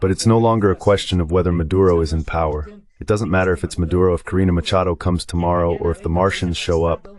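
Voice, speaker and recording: A man's voice: low voice, fairly even intonation, fast, few pauses, darkish tone. A man speaking as the translated voice-over of a guest analyst, delivering political commentary.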